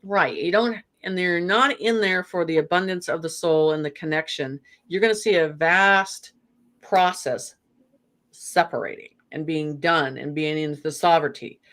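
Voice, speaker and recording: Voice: medium pitch, moderate pace, fairly bright tone. A guest speaking earnestly in a casual chat, rambling with many fillers and restarts.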